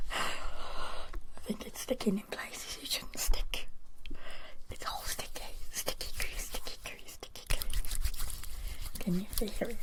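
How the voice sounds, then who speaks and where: A woman whispering softly and gently as she hosts and explains in an ASMR style. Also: mid-range voice, low-quality recording. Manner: soft, gentle, slow and deliberate whispering. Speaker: ASMR video creator and host.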